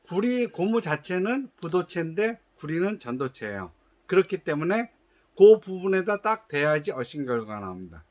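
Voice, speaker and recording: High-voiced man explaining a product test. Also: sing-song, normal speed, a little mellow. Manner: patient, explanatory, with frequent hesitations and restarts; gives instructions in polite formal speech. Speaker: shoe company representative.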